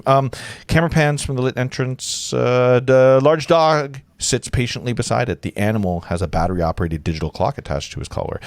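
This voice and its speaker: A man narrating a script informally and haltingly as host of a livestreamed table read, often correcting himself. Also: medium pitch, no echo. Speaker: host, reader of stage directions.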